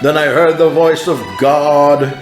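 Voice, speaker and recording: A man's slightly high voice rings with echo over music as he narrates an apocalyptic vision. He is solemn and theatrical, drawing out his phrases with very slow pacing. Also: level tone. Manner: solemn, theatrical, drawn-out delivery. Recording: roomy echo under loud music.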